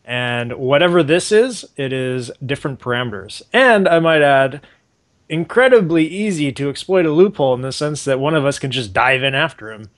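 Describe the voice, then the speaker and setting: A man's voice: mid-range voice, animated, normal speed. A man playing a tabletop roleplaying game, planning with the group in a wry, dry way. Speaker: player.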